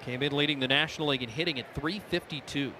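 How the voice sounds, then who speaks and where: A man's voice: on the high side, quick pace, background noise. An American man calling baseball play-by-play on the radio, his voice rising on big plays. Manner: excitable, voice rises on big plays. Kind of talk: live baseball radio commentary.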